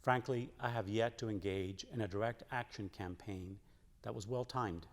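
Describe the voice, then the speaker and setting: Low-voiced man reading in an echoing hall. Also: normal speed. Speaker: church member reading quotes aloud. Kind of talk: scripted reading of a historic letter. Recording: echoing hall with long reverb.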